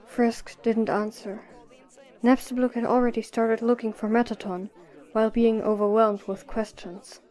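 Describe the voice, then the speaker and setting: A woman's voice: fairly high pitch, level tone, moderate pace, dark tone, rough recording. A woman reading scripted story narration as the narrator of an audio drama.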